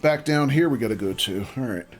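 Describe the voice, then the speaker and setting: A man's voice: medium pitch, lively intonation, a little fast. A man streaming a game live, chatting casually with viewers, reading out their messages and greeting them by name. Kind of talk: casual livestream chat while playing.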